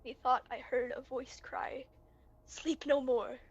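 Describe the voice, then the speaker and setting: A young woman playing a guilt-stricken, fearful lord who has turned murderer, in slow, dramatic amateur Shakespearean verse. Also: sits high, steady tone, slightly rough, dull audio, heavily acted. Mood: haunted, horrified. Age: young adult. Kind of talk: amateur student Shakespeare performance, recorded remotely.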